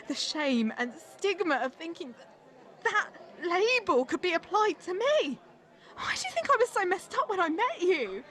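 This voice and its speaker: A woman's voice: very high-pitched, very animated, moderate pace, fairly bright tone, heavily acted. A woman playing a manipulative, wounded girlfriend in a stage drama, arguing in a defensive, guilt-tripping way. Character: manipulative, wounded, accusing young girlfriend in abusive relationship. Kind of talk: dramatic dialogue from a stage play.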